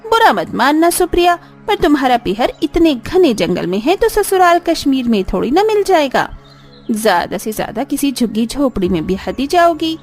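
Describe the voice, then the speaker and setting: A woman's voice: high voice, big pitch swings, normal speed, soft background music. A woman voicing a haughty mother in a cartoon story, with a snide, boastful manner. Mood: taunting. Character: haughty, taunting mother of a married daughter.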